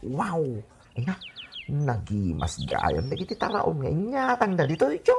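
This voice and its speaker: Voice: medium pitch, sing-song, normal speed, gravelly, a little mellow, husky, heavily acted. A performer playing a boisterous, scheming villager in an Ilocano radio comedy drama, with exaggerated exclamations. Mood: boisterous, excited.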